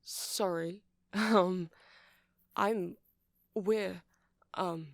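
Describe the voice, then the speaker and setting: Shy, hesitant nerdy male vampire, creaky voice acted by a man. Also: high-pitched, fairly even intonation, extremely slow, dry recording, studio quality, exaggerated voice. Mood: flustered, embarrassed. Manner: hesitant, rambling, awkward nervous stammering. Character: shy, earnest, nerdy male vampire running a music shop. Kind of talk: ASMR roleplay monologue.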